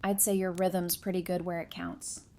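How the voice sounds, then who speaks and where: A woman's voice: on the low side, level tone, moderate pace, darkish tone, lightly acted. A woman playing an affectionate, teasing young wife in an audio drama, talking in a casual, conversational way. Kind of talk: fan audio drama acting. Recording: clear background noise and ambience.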